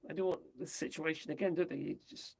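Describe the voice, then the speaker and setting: A man from the UK, chatting his way through the news on a livestream, rambling and tossing in tag questions, his voice going hoarse. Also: a little high, fast. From United Kingdom. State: losing his voice. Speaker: livestream host. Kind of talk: casual commentary on news.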